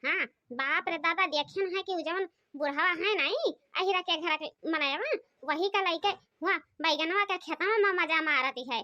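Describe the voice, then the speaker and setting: A woman voicing a gossipy, complaining young village wife in an Awadhi cartoon comedy, with an Awadhi accent and a shrill, nagging chatter. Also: normal speed, few pauses, pushed and bright. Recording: dry, muffled lo-fi.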